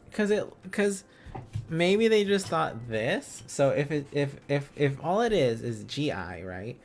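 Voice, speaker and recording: A man streaming a casual lesson, musing aloud and repeating phrases and syllables as he works out letters and words. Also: fairly high pitch. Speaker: streamer learning Korean script on a live stream.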